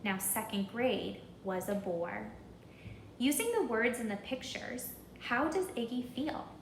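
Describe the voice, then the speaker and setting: A woman with a fairly high, very animated voice reads a children's storybook aloud. She takes her time, deliberate and rhythmic, as if guiding young listeners. The recording is roomy and echoing. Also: creaky, a little hiss or hum. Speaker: storybook reader.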